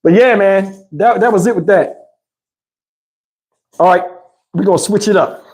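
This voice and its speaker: A man's voice: high voice, a little slow, a little mellow. A middle-aged man telling a story casually as a vlog host, in a slangy, profane and emphatic way.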